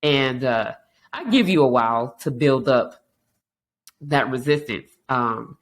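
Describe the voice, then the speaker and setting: A woman's voice: very deep voice, expressive, takes it slowly. A woman hosting a podcast in a frank, casual monologue, her voice stuffy and congested from allergies. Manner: frank, conversational, many restarts. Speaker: podcast host.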